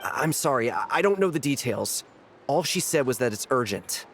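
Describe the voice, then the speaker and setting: A man playing a polite, flustered messenger in a video game, hesitant, hurried and apologetic. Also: mid-range voice, expressive, background noise, close to an everyday voice. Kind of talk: video game character dialogue.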